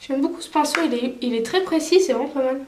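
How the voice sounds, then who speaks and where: Girl with a cold chatting through her makeup, kinda high voice, echoey room. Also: fast, a little mellow. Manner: chatty, talks through each step with many fillers. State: stuffy with a cold. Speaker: beauty vlogger. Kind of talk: makeup tutorial chat.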